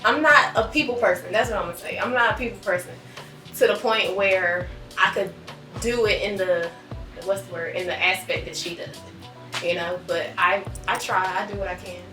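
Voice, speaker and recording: A woman's voice: mid-range voice, normal speed, pushed and bright, reverberant, recorded from a distance, faint music behind, low-quality recording. A woman in her thirties talking casually in an interview, rambling with many likes and ums. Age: 30s. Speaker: guest.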